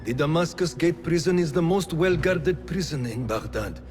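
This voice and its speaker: An actor playing a reflective, stoic rebel scout in a video game, with formal, deliberate delivery. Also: male voice, a little high, lively intonation, a little mellow, faint music behind, lightly acted. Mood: advising. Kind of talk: video game cutscene acting.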